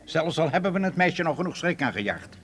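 An actor playing a cautious, sceptical ringleader in a radio drama, questioning and authoritative. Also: male voice, fairly high pitch, very animated, fairly quick, a bit bright.

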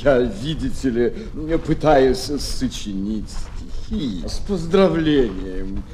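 An actor playing a pompous, dithering penguin poet who declaims theatrically in a children's audio play. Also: male voice, medium pitch, animated, slow, dark tone. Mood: fussy, musing. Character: fussy, pompous male penguin poet, absorbed in rhyming. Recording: noisy old flexi-disc record.

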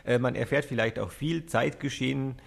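A man's voice: medium pitch, normal speed, gravelly. A man giving a considered, mildly critical interview opinion, choosing his words carefully.

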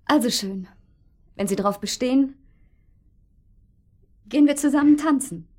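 An actress playing a gracious, reserved but softly flirtatious woman being courted in a German radio drama, speaking in a measured way. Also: high voice. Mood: playful, inviting.

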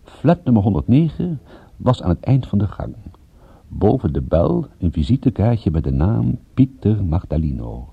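An actor playing a wry, laconic private detective in a radio play, dry and ironic in dialogue and narration. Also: male voice, lowish voice, big pitch swings, moderate pace, creaky, slightly rough, narrow, tinny audio, full character voice. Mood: wry narrating. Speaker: lead actor and first-person narrator. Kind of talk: Dutch radio drama acting and narration.

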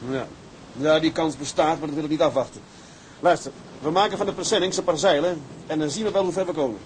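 A man's voice: on the high side, quick pace, lightly acted, poor audio. Man acting a resolute sea captain, faint background noise. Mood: rallying, commanding. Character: resolute, guilt-burdened sea captain of a tugboat crew. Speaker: actor in a radio drama. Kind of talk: radio drama acting with letter-reading monologues.

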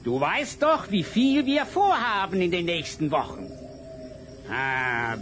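A man's voice: sits high, background noise. A man playing an irritable, fanatical vampire-hunting cemetery warden in a German radio play, grumbling and melodramatic. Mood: scheming, gloating.

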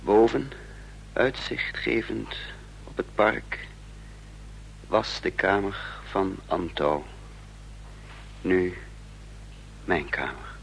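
An actor playing a troubled man caught up in intrigue, narrating his own story with measured drama in a radio play. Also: low voice, lively intonation, creaky, fairly bright tone, heavily acted. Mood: grave. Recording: faintly noisy, humming radio play recording.

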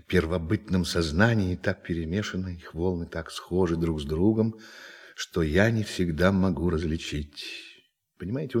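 An actor in a Russian audio drama playing a condescending, gleeful alien visitor with a theatrical, mock-polite manner. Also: male voice, low-pitched, a little mellow, husky, exaggerated voice.

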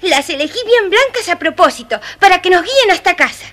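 A woman's voice: very high voice, animated, a little fast, a bit bright. A woman voicing a brave, protective little boy in a children's fairy-tale recording, earnest and reassuring. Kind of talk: dramatized children's audio storybook acting. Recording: noisy old storybook recording.

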